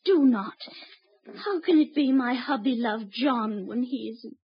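An actress playing a defiant, devious wife accused of murder, melodramatic and pleading, in a 1950s radio drama. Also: female voice, fairly high pitch, measured pace, slight background noise. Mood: frightened refusal. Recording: muffled old radio recording.

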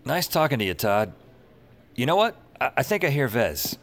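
An actor playing a weary, deadpan, sardonic dispatcher who narrates his own noir tale in a fiction podcast. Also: medium pitch, normal speed, a little hiss or hum. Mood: deadpan, dry.

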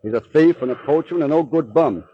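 An actor playing a gruff, hearty coast patrol commander, brusque and colloquial in 1940s radio style. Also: male voice, on the high side, fast talker, no echo. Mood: hearty, approving. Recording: dull, lo-fi 1940s radio recording.

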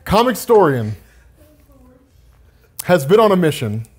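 A man in casual banter with friends, improvising silly comic-book stories, animated and playfully defensive. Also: high voice, unhurried, husky.

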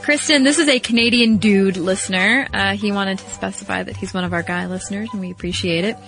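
A woman co-hosting a podcast in casual chat, backing her partner with quick agreements. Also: medium pitch, fairly bright tone, soft background music. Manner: responsive, frequent short agreements. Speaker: podcast co-host.

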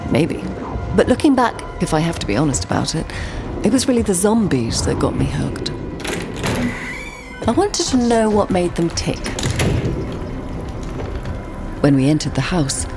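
An actress playing a composed, haunted woman narrating her family past in an audio drama, in measured, literary, portentous phrases. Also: on the low side, big pitch swings, vocal fry. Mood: wry, haunted. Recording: prominent music bed under voice.

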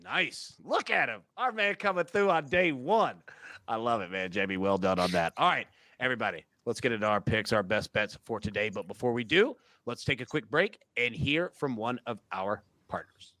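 A man's voice: mid-range voice, animated, normal speed, sharp tone, no room sound. A man hosting a sports betting show, upbeat and slangy, calling his guests dude and man. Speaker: show host. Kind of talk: casual sports betting chat.

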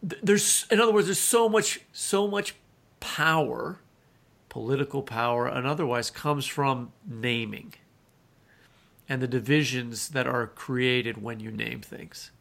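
A man co-hosting a literary podcast, chatting casually and haltingly as he searches for words. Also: medium pitch, creaky, fairly bright tone. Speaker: podcast co-host, interviewer.

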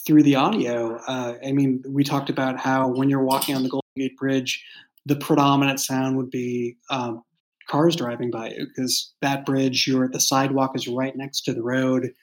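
A man in a casual behind-the-scenes discussion, talking as a writer and explaining things in a halting way, often backing up to rephrase. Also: mid-range voice, little pitch movement, poor audio. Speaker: fiction podcast writer and creator.

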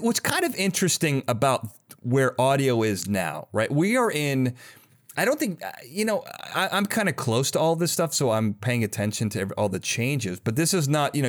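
A man hosting a podcast in casual conversation, talking in a rambling, enthusiastic way and often interrupting himself. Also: medium pitch. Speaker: podcast host.

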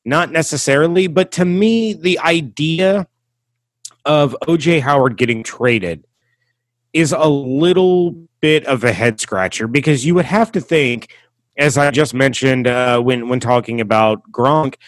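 A man hosting a sports podcast, giving emphatic opinions with rhetorical questions and deliberate stresses on key words. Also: medium pitch, dry recording.

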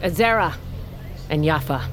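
Imperious low-voiced older queen. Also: lively intonation, normal speed. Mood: stern, accusing. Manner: cold, commanding, interrogating. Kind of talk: cinematic fantasy audio drama acting.

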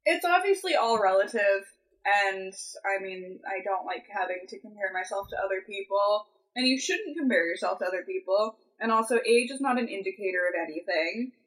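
A woman's voice: medium pitch, lively intonation, a little fast, a bit bright, low-quality recording. Rambling woman in her twenties. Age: 20s. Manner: rambling, full of "like" and "um". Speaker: podcast co-host. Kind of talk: casual chat.

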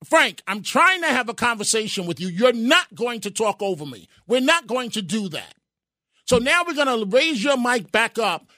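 High-voiced, brisk radio talk host. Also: male voice, very animated, bright, forceful tone, dry recording, studio quality. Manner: talks over callers with rapid repeated interjections.

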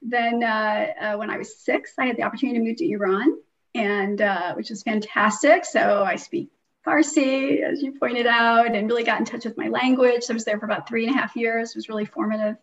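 A woman's voice: a little high, steady tone, fairly quick. A middle-aged woman with an American accent, telling her story casually as a podcast guest on a video call. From US Midwest. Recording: distant, dull lo-fi call audio.

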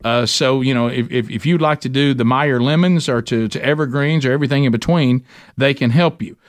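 A man's voice: medium pitch, fairly quick, no room sound. Male host, Southern American, mid-range voice, folksy and joking, casual podcast talk, dry recording. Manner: folksy, joking, repeats phrases. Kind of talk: casual podcast chat and ad reads.

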